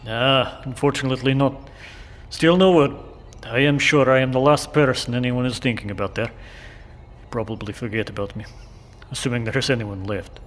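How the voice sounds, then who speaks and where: A man's voice: mid-range voice, fairly even intonation, moderate pace, lightly acted. A man acting in an audio drama as a lonely Russian tracking station operator, earnest and a little formal in his phrasing. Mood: wistful. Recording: reverberant, faintly noisy radio exchange.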